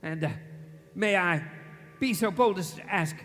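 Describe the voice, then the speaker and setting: An actor playing a frightened, grumbling old miser in a stage radio play, querulous and hesitant, heard in a reverberant hall. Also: male voice, high voice, a little slow, fairly bright tone. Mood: wary, polite. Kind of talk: live radio play acting. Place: theatre stage, live performance at featured mics. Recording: echoey theatre stage, long reverb.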